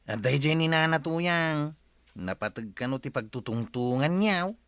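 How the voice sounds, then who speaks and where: An actor playing an eager, insistent village messenger in an Ilocano radio comedy-drama. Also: male voice, fairly high pitch, lively intonation, unhurried, a bit bright, clear, resonant tone, no room sound. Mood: urgent, insistent.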